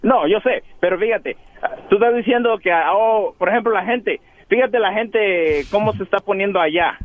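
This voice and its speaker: A man calling in to a radio show by phone, giving opinions casually in a halting, rambling, repetitive way. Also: high-pitched. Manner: halting, rambling, repeats himself. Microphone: phone call. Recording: tinny, noisy phone line.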